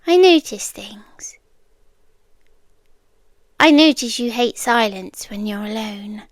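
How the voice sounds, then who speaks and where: Woman playing a soft, slow British yandere girlfriend, high-voiced. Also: no room sound, clean studio sound. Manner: slow, deliberate, unnervingly calm, often drifting into whispers. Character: calm, obsessive yandere stalker girlfriend, young woman. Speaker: ASMR roleplay performer. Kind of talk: ASMR roleplay, soft close speech. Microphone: close microphone.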